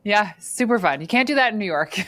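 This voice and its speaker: A woman from Northern Ontario hosting an interview podcast, chatty and quick to laugh, with plenty of fillers. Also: medium pitch, animated, a bit bright. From Northern Ontario, Canada. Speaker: podcast host. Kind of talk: casual interview chat.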